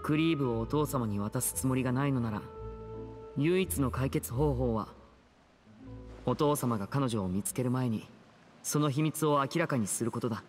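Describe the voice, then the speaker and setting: A male actor playing a composed, protective teenage magician in an anime game, speaking smoothly and earnestly. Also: mid-range voice, moderate pace, soft background music, lightly acted. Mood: calm, resolute. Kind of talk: Japanese anime game voice acting.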